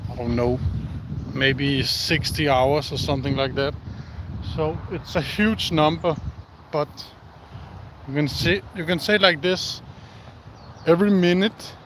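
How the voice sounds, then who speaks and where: Slow, halting Danish man outdoors. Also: mid-range voice, noisy background. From Denmark. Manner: slow, halting, many pauses and fillers. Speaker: live-streaming vlogger. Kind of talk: casual live-stream chat while walking outdoors. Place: outdoors in the countryside.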